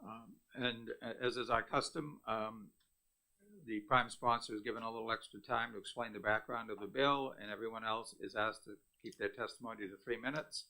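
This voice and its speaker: A man chairs a legislative hearing. His voice is gravelly with a bright edge. He calls the next witness and keeps the proceedings moving in a polite, procedural way. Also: lowish voice, steady tone, normal speed, rough recording. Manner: polite, procedural, slightly unsure over names. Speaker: committee chair.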